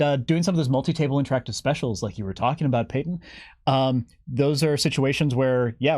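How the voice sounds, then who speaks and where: A man's voice: mid-range voice, a little mellow, no echo. Man, mid-range voice, enthusiastic, explanatory, casual interview guest, dry sound. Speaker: guest, senior game developer.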